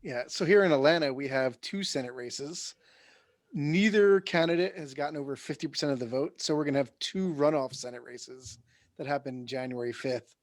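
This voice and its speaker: A man's voice: mid-range voice, moderate pace, dry recording. Guy co-hosting, short offhand comments, dry close sound. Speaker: podcast co-host. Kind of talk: casual podcast chat.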